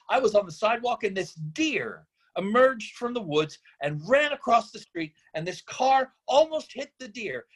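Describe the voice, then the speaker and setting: Guy acting as a middle-aged dad, disillusioned and bitter, kinda philosophical, slow deliberate stage-reading delivery. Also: very high-pitched, fairly bright tone, heavily acted. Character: disillusioned, idealistic absent father, philosophical and bitter. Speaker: actor in a screenplay reading. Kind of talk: dramatic table-read monologue.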